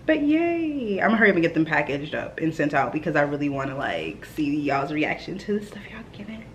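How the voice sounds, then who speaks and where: A woman's voice: sits low, big pitch swings, fairly quick, highs cut off, slight background noise. A young woman vlogging at home, chatting casually to viewers as she cleans and arranges flowers. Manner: chatty, addresses viewers as y'all. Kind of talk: casual vlog chat. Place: at home, echoey rooms. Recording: echoey home room, faint ambience.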